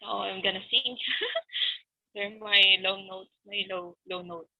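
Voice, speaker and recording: A woman's voice: medium pitch, steady tone, slow pace, creaky. A young woman with a Filipino accent, a student talking shyly and with giggles on a video call. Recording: dry and slightly dull.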